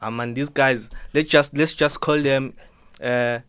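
A man's voice: medium pitch, steady tone, measured pace, a bit bright, clear, resonant tone, no room sound. Polite teenage man, resonant. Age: teens. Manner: polite, earnest, says "ma'am" often. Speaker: dancer and fashion subculture member. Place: radio studio.

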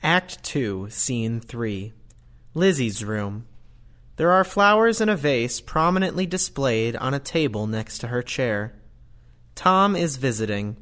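A narrator voiced by a man reading stage directions for an audio drama. His mid-pitched voice moves expressively through the scripted lines. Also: expressive, takes it slowly, a bit bright. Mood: neutral.

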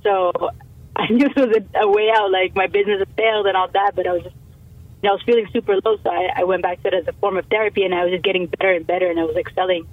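A woman's voice: medium pitch, fairly even intonation, rapid-fire, no room sound. A young woman from Canada, a musician, chatting casually in an interview, rambling with many fillers and restarts. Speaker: musician guest. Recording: tinny phone line.

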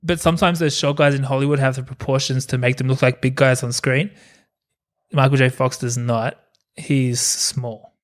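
A man's voice: mid-range voice, little pitch movement, moderate pace. A man in his thirties co-hosting a movie podcast in casual chat, joking and laughing often. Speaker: podcast co-host.

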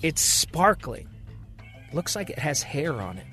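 A man telling a serial thriller in steady, expressive scripted narration. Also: on the high side, a little slow, soft background music. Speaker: storyteller.

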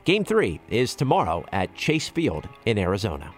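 An American man hosting radio news, reading scripted stories in a measured, explanatory way. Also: on the low side, huge pitch swings.

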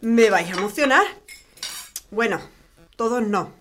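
An actress playing a caring middle-aged mother with a warm, coaxing, chatty manner. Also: fairly high pitch, lively intonation, unhurried, slight background noise. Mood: cheerful. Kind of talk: comic family sitcom. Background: dinner table, clinking cutlery.

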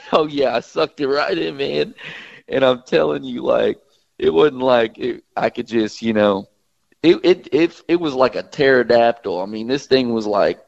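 A man calling in to a radio show, congested from a cold, telling stories in an animated way. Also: medium pitch, moderate pace, vocal fry, dry recording. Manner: animated storyteller, chuckles. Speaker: fishing guide, guest caller. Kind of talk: casual radio chat. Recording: dull dry phone line.

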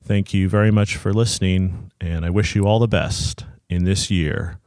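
A man hosting an interview podcast, talking in a measured, thoughtful way with frequent pauses and fillers. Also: sits low, fairly even intonation, a little mellow, dry recording, clean studio sound. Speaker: podcast host.

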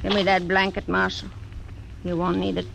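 An actress voicing a grim, hard frontier widow who gives cold, threatening orders, in 1940s radio acting style. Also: female voice, fairly low pitch, monotone, moderate pace, gravelly. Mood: cold, commanding. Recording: noisy old radio broadcast.

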